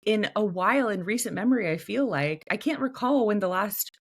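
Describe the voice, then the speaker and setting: A young woman co-hosting a casual podcast, chatty and animated, her voice a little hoarse. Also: mid-range voice, brisk. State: slightly hoarse. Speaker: podcast co-host, editor. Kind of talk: casual chat.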